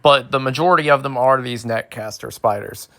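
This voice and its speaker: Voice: mid-range voice, a little fast, fairly bright tone. A host with a mid-range voice gives an educational ASMR talk. The delivery is informal and detailed, explaining things step by step and slipping in playful asides.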